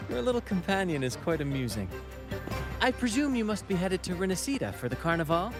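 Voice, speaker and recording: An actor playing a flamboyant, theatrical ship captain in a video game, with grand, courtly phrasing. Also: male voice, sits high, sing-song, fairly quick, over music. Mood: lively, lyrical. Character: flamboyant swashbuckling ship captain leading a merry theatrical troupe. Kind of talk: video game character acting.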